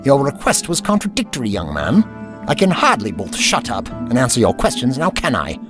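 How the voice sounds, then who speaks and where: An actor playing a fussy, indignant elderly time traveller with a prim, pompous manner in an audio drama. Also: male voice, mid-range voice, huge pitch swings, normal speed. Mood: defiant. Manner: pompous, prim, old-fashioned wording. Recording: music under the voice.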